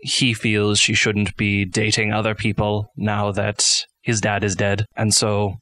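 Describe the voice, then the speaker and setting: Man playing prim, chatty, low-voiced comic dictator parody. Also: completely flat delivery, measured pace, bright, forceful tone, dry recording. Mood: genteel, amused. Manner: prim, wordy, giggly understatement. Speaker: guest recapping a story. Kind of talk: comic recap narration.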